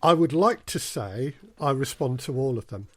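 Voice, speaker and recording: Male podcast guest, medium pitch, close dry sound, conversational and hesitant, thinking through his answers. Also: lively intonation, moderate pace, a bit bright, no echo. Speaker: podcast guest, audio drama producer and casting director. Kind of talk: casual interview conversation.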